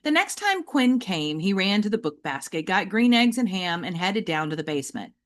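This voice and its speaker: A woman reading a children's novel aloud like an audiobook, with deliberate, emphatic and varied phrasing. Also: medium pitch, big pitch swings. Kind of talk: expressive audiobook-style storytelling for children.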